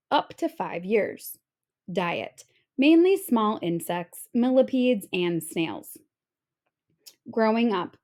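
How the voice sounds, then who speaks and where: Woman, lively pitch swings, teacher-style read-aloud, expressive informational narration, emphasized questions. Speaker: teacher reading aloud to children.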